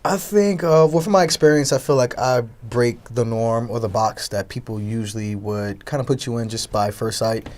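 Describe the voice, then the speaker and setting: A young man giving conversational answers as an interview guest. His voice sits at an average pitch for a man. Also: normal speed, few pauses, a little mellow. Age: young adult. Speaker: talk show guest, entrepreneur.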